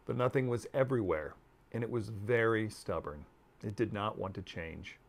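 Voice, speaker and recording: A man in his fifties giving a dramatic storybook reading, deliberate and expressive with dramatic pauses. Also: on the low side, vocal fry. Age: 50s. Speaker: author reading aloud. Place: book-lined room at home.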